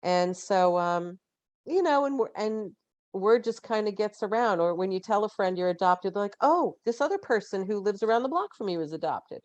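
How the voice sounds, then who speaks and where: A woman in her fifties, a guest on a podcast interview, talking in an earnest, reflective way and pausing to find her words. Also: medium pitch, animated, a little mellow, no echo, highs cut off. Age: 50s. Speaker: guest, genetic genealogist.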